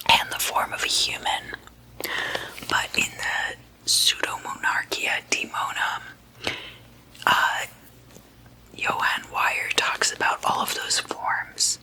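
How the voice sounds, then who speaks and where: Slow whispering ASMR woman. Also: low-quality recording. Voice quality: soft breathy unvoiced whisper. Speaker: ASMR host. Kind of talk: whispered ASMR, chatty explainer with sleepover roleplay.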